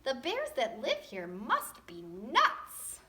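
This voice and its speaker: A woman voicing a headstrong, greedy little girl from a storybook, with exaggerated, drawn-out delivery. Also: fairly high pitch, huge pitch swings, pushed and bright, exaggerated voice. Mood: exhausted, baffled.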